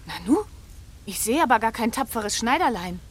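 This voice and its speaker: A woman's voice: fairly high pitch, very animated, moderate pace, slightly rough. A woman playing a cheerful, practical balloonist guide, explaining and encouraging in a children's radio play.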